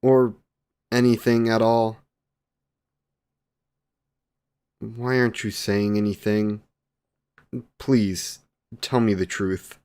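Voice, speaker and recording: A man's voice: fairly low pitch, little pitch movement, slow, a little mellow, smooth. A man playing a courtly, devoted, youthful vampire boyfriend in a romantic audio roleplay, with archaic, formal wording and tender endearments. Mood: concerned. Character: courtly, devoted 128-year-old male vampire boyfriend, youthful, doting.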